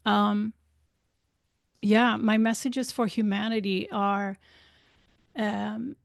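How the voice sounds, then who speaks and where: The guest on a spiritual podcast, a woman speaking English as a second language, weighing each halting phrase slowly with a gravelly fry. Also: medium pitch, flat delivery, no room sound, clean studio sound. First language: not English. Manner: halting, deliberate, searching for words. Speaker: interview guest, spiritual life coach and author. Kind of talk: reflective interview conversation.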